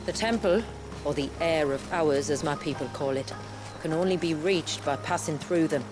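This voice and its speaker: Actress as village woman guide, low-pitched, solemn, measured storyteller, fantasy game drama, soft music behind. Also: natural voice. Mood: guarded, purposeful. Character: grave village woman guide and keeper of her people's history. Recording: music under the voice.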